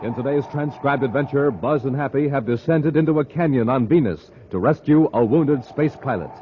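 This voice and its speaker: A man's voice: medium pitch, normal speed. An American man announcing and narrating in a dramatic, punchy, scripted 1950s radio style. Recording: muffled, hissy old radio recording.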